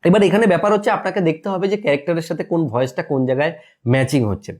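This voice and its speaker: A man's voice: on the high side, animated, rapid-fire, a little mellow. A man presenting a step-by-step screen tutorial in an instructional way, often asking a question and then answering it himself.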